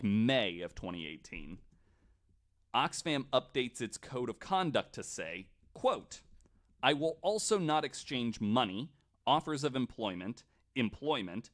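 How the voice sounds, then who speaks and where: A man's voice: medium pitch, big pitch swings, slow pace, a bit bright. A man casually telling a researched story on a podcast, in an informal, somewhat hesitant way.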